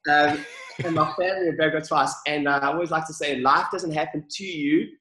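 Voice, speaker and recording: South african guy, bright forceful voice, kinda high, excited guest on a podcast, roomy echo. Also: a little fast. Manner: enthusiastic, upbeat, grateful. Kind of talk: casual interview.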